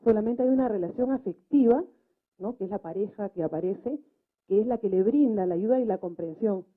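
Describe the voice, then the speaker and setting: Muffled, mid-pitched woman giving analytical critique. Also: brisk. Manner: questioning, adds frequent tag questions. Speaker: debate participant. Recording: muffled, narrow-band live recording.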